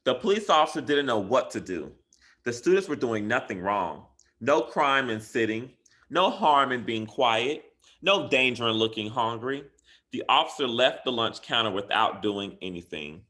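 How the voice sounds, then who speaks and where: A man reading a picture book aloud to children in an expressive way, with deliberate, emphatic phrasing and dramatic stresses. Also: medium pitch. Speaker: school educator reading a bedtime story.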